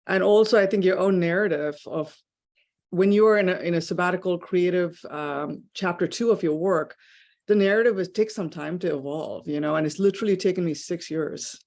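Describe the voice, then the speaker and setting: A woman's voice: on the low side, fairly quick, a little mellow. A woman taking part in an online workshop, speaking thoughtfully over a video call and searching for her words. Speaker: workshop participant. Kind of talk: reflective personal sharing.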